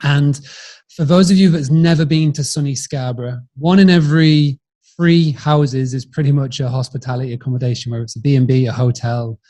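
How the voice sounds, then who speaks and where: A middle-aged Yorkshireman speaks as a podcast guest, with an ordinary mid-pitched voice. He tells stories conversationally, wandering from point to point with a bit of self-mockery. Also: steady tone, fairly quick, warm tone. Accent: Yorkshire English. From Yorkshire, England. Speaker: podcast guest, business founder. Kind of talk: conversational storytelling in an interview.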